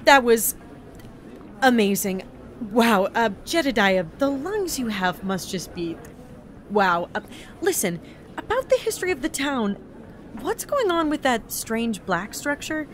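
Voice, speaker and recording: A woman's voice: on the high side, big pitch swings, a little slow, a little hiss or hum. A woman playing a bewildered, politely exasperated young otherworldly newcomer in an audio drama, hesitant and dryly sarcastic. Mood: polite, awkward. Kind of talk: audio drama acting with log narration.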